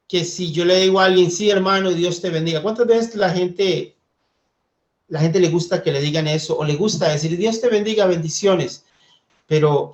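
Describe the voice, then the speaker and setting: A man from Costa Rica leading a church Bible study, speaking gently and thoughtfully, with hesitant fillers. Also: on the high side. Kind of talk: Bible study teaching and reading scripture.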